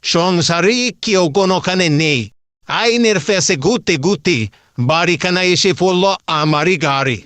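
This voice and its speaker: A man's voice: high-pitched, unhurried, fairly bright tone, no echo, pitched above the performer's own voice. A man voicing a chatty, pushy middle-aged house seller who grumbles and wheedles in colloquial patter in a dramatized horror story. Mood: grumbling, complaining. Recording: music behind the voice.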